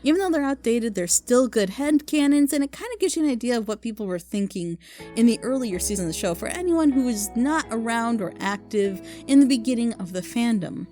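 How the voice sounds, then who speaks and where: Female video host, higher voice, informal and enthusiastic recommendations, loosely delivered, faint music underneath. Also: animated, a little fast, mellow. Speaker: video host recommending fan audio dramas. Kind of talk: casual unscripted review.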